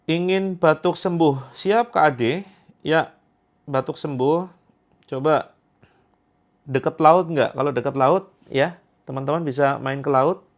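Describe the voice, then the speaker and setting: A man's voice: on the high side, takes it slowly, pauses often. A man in his thirties from Indonesia, hosting a livestream where he reads out viewer comments in a chatty, joking way and repeats his points for emphasis. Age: 30s. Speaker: Quran therapy host.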